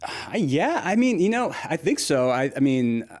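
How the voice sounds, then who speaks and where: A man's voice: on the high side, very animated, a little slow, a little mellow. A young man from Nashville chatting as an interview guest, laughing often and restarting his sentences in a friendly, easygoing way. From Nashville, United States. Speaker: interview guest, food creator. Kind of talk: casual conversational interview.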